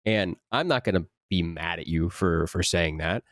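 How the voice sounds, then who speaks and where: Young man, low voice, dry close sound, animated casual chat. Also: moderate pace, no room sound. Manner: animated, many restarts, rising for emphasis. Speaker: voice actor guest.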